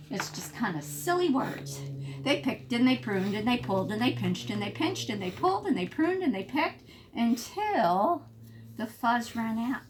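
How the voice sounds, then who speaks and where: Expressive, slow-paced woman reading a storybook. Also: medium pitch, slight background noise. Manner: emphatic, explains and prompts young listeners. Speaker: preschool teacher reading a picture book aloud. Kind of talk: expressive storybook read-aloud for young children.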